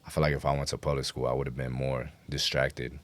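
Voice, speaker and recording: Very deep-voiced New York man. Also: little pitch movement, quick pace. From New York City. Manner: slangy, emphatic storytelling. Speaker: guest rapper. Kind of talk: casual interview chat.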